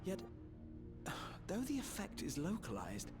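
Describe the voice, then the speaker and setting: An actor voicing a learned, grave mage in a video game, formal, deliberate and dramatic. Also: male voice, high-pitched, huge pitch swings, music in the background, exaggerated voice. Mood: pondering, troubled.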